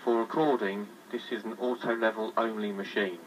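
A man giving scripted, matter-of-fact narration in a measured way, as if presenting a product. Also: fairly low pitch, steady tone. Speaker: presenter demonstrating a vintage tape recorder. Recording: distant, noisy vintage tape recorder sound.

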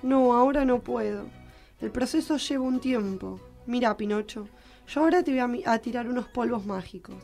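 A woman's voice: fairly high pitch, moderate pace, a little mellow, soft background music, lightly acted. A woman voicing a hungover, sardonic good fairy with an Argentine accent in a comic radio play. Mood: dry, weary.

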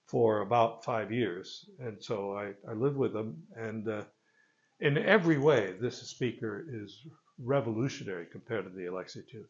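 An older man giving an informal product presentation in a gravelly voice, with halting, hesitant phrasing, recorded on a phone a few meters away. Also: lowish voice, muffled recording. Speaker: loudspeaker company presenter. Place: carpeted listening room with stone wall, indoors. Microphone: phone camera a few meters away.

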